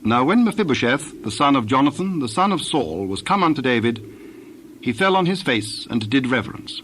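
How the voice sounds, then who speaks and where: A man narrating scripture from a script in a measured, formal way. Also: mid-range voice, sing-song, a bit bright. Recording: clear background noise with birdsong.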